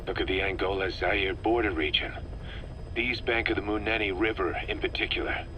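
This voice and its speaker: A hardened mercenary officer in a video game, with a low, forceful voice. He briefs his commander in a deliberate, emphatic military manner, wry and intense, over faint background noise. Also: low-quality recording. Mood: grave, informative.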